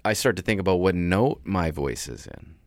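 A man with a deep voice, a rapper talking loosely in an interview with many restarts. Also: expressive, moderate pace, no echo, clean studio sound. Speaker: rapper guest. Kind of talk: casual interview talk.

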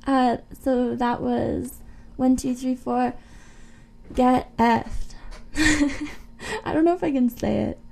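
Woman, radio co-host, creaky fry, soft-edged mellow tone, informal music chat. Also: sits high, fairly even intonation, slow pace. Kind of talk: casual music chat between songs.

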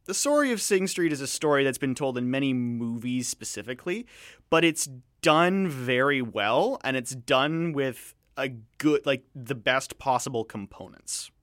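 A man hosting a radio show, chatting casually about films in a rambling, digressive way with halting restarts. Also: medium pitch, lively intonation, a bit bright, no room sound, clean studio sound. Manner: rambling, digressive, halting with repeated false starts. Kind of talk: casual film review chat.

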